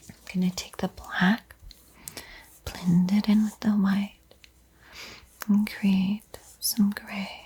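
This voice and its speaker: Breathy whispering woman, slow ASMR instructor. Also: mid-range voice, flat delivery, mellow. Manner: slow, soothing, encouraging. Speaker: art tutorial host.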